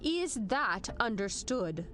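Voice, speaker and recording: An actress playing a stern, exacting major general in an audio drama, firm and emphatic. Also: female voice, a little high, sing-song, slow pace, a bit bright, slight background noise, heavily acted. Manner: firm, clipped orders, emphatic.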